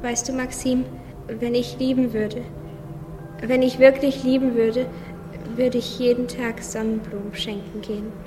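A woman's voice: a little high, flat delivery, measured pace, natural voice. A woman playing a naive, sad little boy musing simply in a radio play. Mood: pensive. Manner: simple childlike musing, repeating phrases. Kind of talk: radio play inner monologue. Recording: long reverb, music clearly behind.

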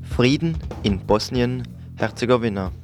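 A man's voice: mid-range voice, a little slow, gravelly. A man announcing the radio news and its headlines as a station announcer, with music under his voice. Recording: loud guitar music under the voice. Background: loud guitar music.

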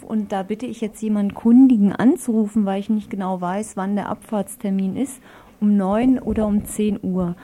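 Mellow-voiced woman announcing on radio. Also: medium pitch. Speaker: radio announcer reading event listings. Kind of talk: informal live radio announcements.

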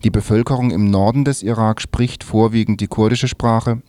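A man's voice: on the low side, steady tone, normal speed, dark tone, no echo. A man reading scripted news and articles as a radio newsreader.

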